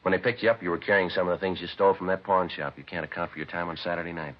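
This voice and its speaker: A man's voice: sits low, fairly even intonation, very fast, natural voice. A man playing a terse, methodical police detective in a 1950s radio drama, matter-of-fact and unbelieving as he questions a suspect. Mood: flat, pressing. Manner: clipped, matter-of-fact interrogation. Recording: dull vintage radio recording.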